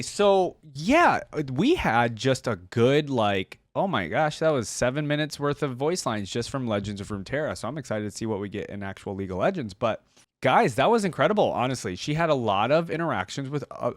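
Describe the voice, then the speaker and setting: A man's voice: medium pitch, very animated, a little fast, no room sound, studio quality. A man hosting a reaction video, commenting casually and enthusiastically, musing aloud. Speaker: YouTube reaction host.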